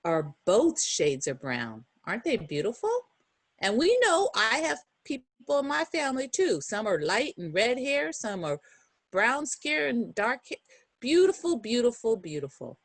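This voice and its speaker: A woman reading a picture book aloud to young children, expressive and encouraging, with chatty asides and questions to her listeners. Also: lowish voice, no room sound. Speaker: children's storybook reader.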